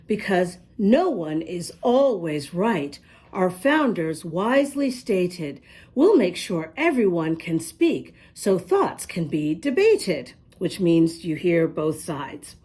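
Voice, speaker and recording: A woman reading a picture book aloud to young children, expressively, pausing to ask them questions. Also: lowish voice, darkish tone, husky. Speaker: children's storybook reader and host.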